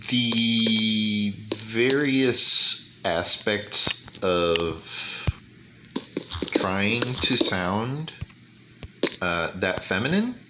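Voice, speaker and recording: Slow, deep-voiced man gaming. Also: expressive, noisy background. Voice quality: deep bass voice. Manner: slow, deliberate, with many fillers. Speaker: gaming YouTuber. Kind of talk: casual commentary over gameplay.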